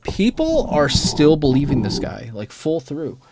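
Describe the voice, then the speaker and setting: A man co-hosting a casual panel podcast over an online call, explaining things in a halting, rambling way. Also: fairly high pitch, huge pitch swings, noisy background. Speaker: podcast co-host.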